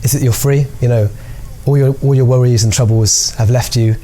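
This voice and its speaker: Young drummer guy from birmingham, mid pitched, warm mellow tone, easygoing rambling. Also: brisk. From Birmingham, England. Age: young adult. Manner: relaxed, rambling with fillers and restarts. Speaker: percussionist. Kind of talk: interview answers.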